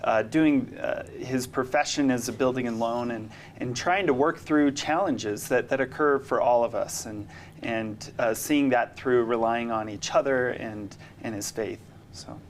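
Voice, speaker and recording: A middle-aged man answering casually as an interview guest, thoughtful and halting, often repeating words as he goes. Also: medium pitch, slight background noise. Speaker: interview guest, amateur actor. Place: TV studio.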